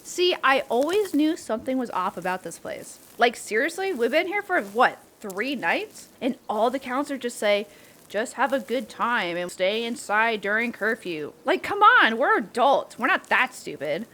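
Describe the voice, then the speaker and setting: A woman playing a bold, playful, prankish young camper in a roleplay audio drama, with an excitable, teasing, slangy way of talking. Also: a little high, normal speed, a little hiss or hum. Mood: animated, slangy. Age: young adult.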